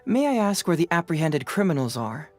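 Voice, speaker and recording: A man's voice: a little high, sing-song, a little fast. A young detective from a video game. He sounds composed, breezy and sure of himself as he probes. Music plays faintly under the voice. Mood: confident, breezy. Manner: self-assured, probing.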